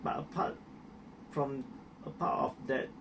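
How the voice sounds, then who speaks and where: A man's voice: medium pitch, fairly even intonation, slow, distant mic, noisy background. A young man from Malaysia with a Malaysian accent, answering interview questions in a hesitant way with many restarts and fillers.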